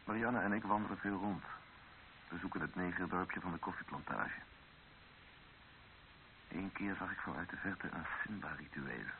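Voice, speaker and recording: An actor playing an anxious male journalist who narrates his own ordeal in a measured, literary way in a radio drama. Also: deep voice, vocal fry. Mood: grave, restrained. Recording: dull narrow-band old radio recording with hiss.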